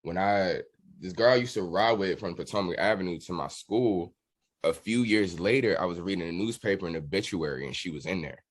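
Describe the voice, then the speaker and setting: A man's voice: low-pitched, fairly quick. An American man giving a casual interview as a guest, telling stories in a candid, slangy way. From Washington DC area, United States. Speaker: musician guest, drummer.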